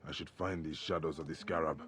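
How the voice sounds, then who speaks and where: An actor playing a stern, watchful middle-aged warrior in a video game, muttering terse, brooding observations. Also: male voice, rumbling low, steady tone, a little fast, crowd noise. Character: gruff veteran Medjay warrior, stern and watchful. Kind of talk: video game cutscene acting. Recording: loud music behind the voice.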